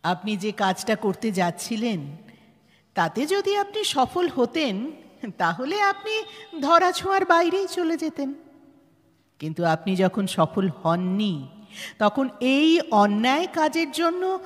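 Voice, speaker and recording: In a long, hall-like reverb, a woman acts out a stern woman in charge of an organisation, speaking with a Bengali accent. Her delivery is formal and probing, with lively swings of pitch, in the style of an audio drama. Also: exaggerated voice. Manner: measured, interrogating, firm and formal. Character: composed, authoritative woman heading a welfare organisation, probing and stern.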